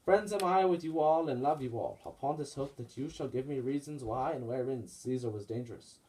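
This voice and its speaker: A fervent Roman nobleman, acted by a man, full of grief and vengeance. The voice is middle-pitched and declaims theatrical Shakespearean verse for a puppet show with impassioned weight. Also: fairly quick, dark tone, natural voice, poor audio. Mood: guarded.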